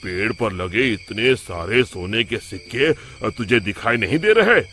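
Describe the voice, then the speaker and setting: A man voicing a greedy, bossy village shopkeeper in a cartoon story, blustering with drawn-out exclamations. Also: lowish voice, animated, loud music behind the voice. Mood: scornful, insistent.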